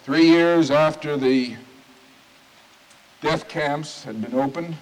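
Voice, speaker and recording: Man, rabbi, sermon, oratorical, emphatic pauses, somewhat high voice, long hall reverb. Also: a little mellow.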